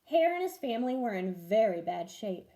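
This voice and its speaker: A woman reading a children's story aloud in an expressive, deliberate way, like a teacher with pupils. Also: on the high side.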